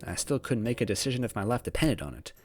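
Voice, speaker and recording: A man's voice: medium pitch, very animated, fast talker, darkish tone, lightly acted. A man playing an anxious, self-doubting young man who narrates his own story in a hesitant, wavering way. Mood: anxious, brooding. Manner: hesitant, wavering, second-guessing. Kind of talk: first-person storybook narration. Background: background music.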